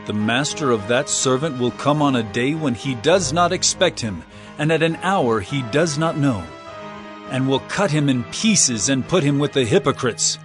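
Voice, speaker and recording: A man's voice: mid-range voice, lively intonation, moderate pace, faint music behind, natural voice. An actor playing a solemn male prophet-teacher, delivering warnings and parables in earnest, emphatic dramatized scripture narration. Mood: urgent, grave.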